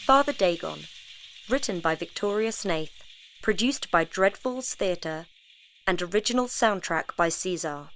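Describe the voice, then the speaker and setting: A woman's voice: lowish voice, animated, unhurried, a bit bright, rough recording. A woman reading scripted podcast credits and announcements as the show's announcer.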